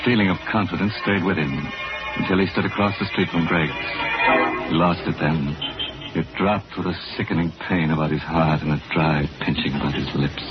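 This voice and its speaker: An actor playing a knowing, sardonic narrator in a 1940s radio horror drama, addressing the listener intimately. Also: male voice, a bass voice, moderate pace. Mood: sardonic, ominous. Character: sardonic knowing male narrator of a murder tale. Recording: dull old radio recording, loud music.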